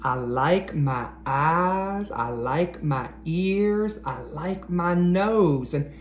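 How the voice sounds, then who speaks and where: A man reading aloud to children like an educator, with an expressive, encouraging manner that speaks straight to them. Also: a little high, drawn-out words. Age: adult. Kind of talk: animated children's read-aloud. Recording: roomy echo, faint background noise.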